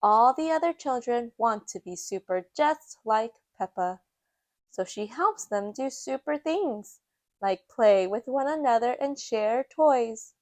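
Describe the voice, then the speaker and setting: A woman reading a children's picture book aloud with a measured storytelling delivery. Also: a little high, expressive, darkish tone, no room sound. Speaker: storytime reader.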